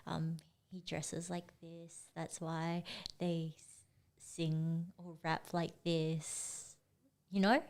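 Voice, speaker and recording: A young woman from Singapore, guest artist chatting casually in an interview, relaxed and full of "like" and "um". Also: fairly low pitch, drawn-out words. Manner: relaxed, many fillers like "like" and "um".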